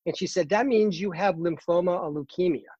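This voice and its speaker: A man in his fifties with a Boston accent, a guest in a casual interview, talking earnestly with many pauses and fillers. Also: high-pitched, mellow, breathy edge, no echo, lo-fi sound. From Boston, Massachusetts. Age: 50s. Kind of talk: casual interview over a call.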